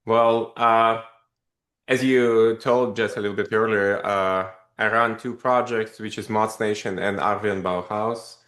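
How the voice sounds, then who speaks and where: Guy telling stories on a podcast, animated, rambly, echoey room. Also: fairly low pitch, a little slow. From Russia. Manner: animated, rambling with many fillers. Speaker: podcast guest, company founder. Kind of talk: casual interview storytelling.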